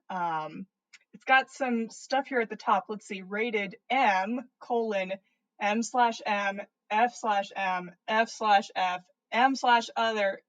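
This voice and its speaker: A woman with a fairly high voice hosts a story reading. She delivers comedic fan fiction playfully, with amused, theatrical expression. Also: fairly bright tone. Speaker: podcast host reading listener stories.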